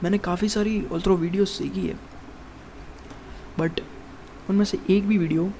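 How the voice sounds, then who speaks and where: A man's voice: high voice, steady tone, normal speed, warm tone, slight background noise. A man of twenty-one giving a casual, rambling monologue as a fitness vlogger, calling the viewer "bro" over and over and restarting his sentences. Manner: rambling, addresses viewer as "bhai" constantly, many restarts.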